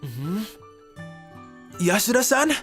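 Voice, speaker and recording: A woman voicing a sleep-deprived, shy teenage schoolboy in a manga dub, soft-spoken and hesitant. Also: mid-range voice, very animated, slow pace. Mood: shy polite. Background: faint music.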